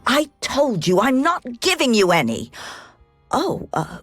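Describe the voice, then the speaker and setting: An actress playing a nervous, secretive elderly aunt in a game cutscene, speaking in a fluttery, genteel way. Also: female voice, fairly high pitch, wildly swooping pitch, slow pace, faint music behind, heavily acted. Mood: flustered. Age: older.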